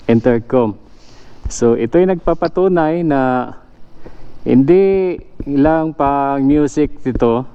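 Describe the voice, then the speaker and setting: A man's voice: medium pitch, smooth, lo-fi sound. A Filipino man presenting motorcycle gear in a casual, explanatory way, often addressing his viewers. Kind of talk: casual product demonstration.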